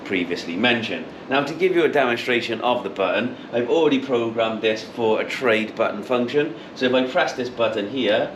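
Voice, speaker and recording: A man's voice: mid-range voice, lively intonation, normal speed, few pauses. A man in his thirties giving a casual, explanatory how-to product demonstration, repeating key points as he goes. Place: showroom with intercom display wall, indoors. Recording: clearly noisy room background.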